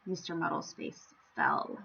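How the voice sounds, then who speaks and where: A woman reading a storybook aloud to young children, with theatrical, playful emphasis and an expressive storytelling style. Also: unhurried, lo-fi sound. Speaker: children's story-time reader.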